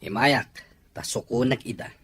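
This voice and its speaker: A man's voice: medium pitch, moderate pace, a bit bright, slight background noise, natural voice. A man playing a stubborn, irresponsible father, insistent and defiant, in an Ilocano radio drama. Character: stubborn, irresponsible father demanding his children back.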